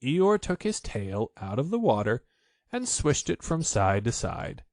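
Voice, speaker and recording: A man reading a children's story aloud as an expressive audiobook narrator. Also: mid-range voice, measured pace, no room sound, clean studio sound.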